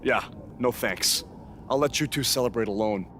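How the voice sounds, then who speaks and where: An actor playing a bitter, jealous indie wrestler in a video game, sarcastic and accusing. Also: male voice, medium pitch, moderate pace, fairly bright tone, a little hiss or hum, close to an everyday voice. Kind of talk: video game cutscene acting.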